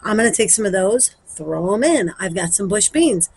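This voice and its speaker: Woman, informal vlog host, medium pitch, talking through a hands-on task, some background noise. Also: animated, moderate pace, a little mellow. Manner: chatty, informal, thinking aloud. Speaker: garden vlogger. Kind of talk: casual vlog chat while demonstrating.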